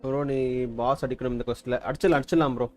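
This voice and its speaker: A male streamer with a Tamil Nadu accent chats informally during gameplay. He talks in very quick bursts at a medium pitch, with faint game music behind him. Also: fairly even intonation. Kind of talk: casual livestream chat.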